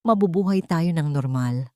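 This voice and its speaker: A woman's voice: sits low, expressive, normal speed, mellow, no echo. A woman narrator plays a coercive, scheming husband in an audio drama. He speaks with controlled, coaxing pressure, close and dry.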